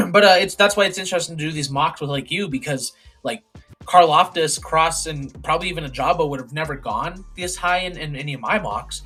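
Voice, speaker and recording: A hoarse young man hosting a casual sports chat, rambling with lots of fillers and restarts. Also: a little high, a little fast, bright, forceful tone, faint music behind. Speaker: podcast host doing a mock draft.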